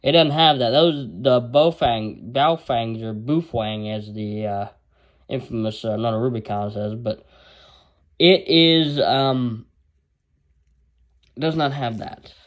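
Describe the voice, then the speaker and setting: Man, casual gadget review, rambling, filler-heavy, middle pitch. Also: slow pace. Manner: rambling, many fillers and restarts. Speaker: radio gear reviewer.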